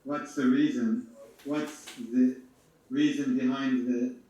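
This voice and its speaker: A man's voice: medium pitch, little pitch movement, takes it slowly, dark tone. A man born in New York and raised in Japan, speaking hesitantly and hedging his points in a seminar discussion. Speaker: seminar participant. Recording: roomy, dull, from far off.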